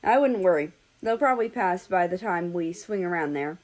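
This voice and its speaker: A woman's voice: lowish voice, lively intonation, moderate pace, darkish tone, close to an everyday voice. A woman voicing an easygoing, tired and teasing male driver on a road trip in a story reading. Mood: reassuring. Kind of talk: character dialogue in a story reading.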